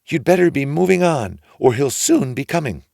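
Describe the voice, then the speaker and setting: A great big tall storybook wife, put on by a man, brusque but motherly. The voice is pushed high and loud, swooping as she scolds and fusses, with a dry close sound. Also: lively intonation, normal speed, creaky, no echo. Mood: brusque, warning. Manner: high-pitched, exclaiming, scolding and fussing.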